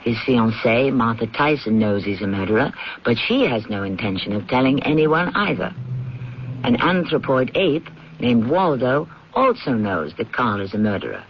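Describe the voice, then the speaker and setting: A man's voice: medium pitch, sing-song, normal speed, a little hiss or hum. A man hosting a radio drama with wry, theatrical scripted narration. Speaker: host and narrator. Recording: muffled old radio broadcast. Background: music.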